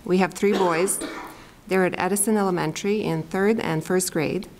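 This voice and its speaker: A woman's voice: fairly low pitch, fairly even intonation, normal speed, background noise. Serbian-accented woman, confident and dry. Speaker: school board candidate. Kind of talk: prepared opening statement.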